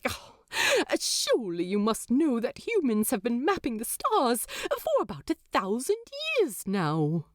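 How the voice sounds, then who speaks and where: A woman's voice: on the high side, huge pitch swings, a little slow, few pauses, no echo, clean studio sound. A woman voicing an earnest, easily indignant male angel in a story, with a hesitant, fussy, stammering manner. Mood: exasperated, earnest.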